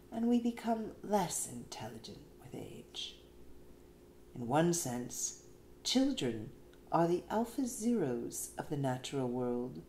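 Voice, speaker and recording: A woman reads a written essay aloud in an expressive, emphatic way with deliberate phrasing. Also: low-pitched. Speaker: reader of an essay. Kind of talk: scripted narration, essay read aloud. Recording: roomy echo, faint background noise.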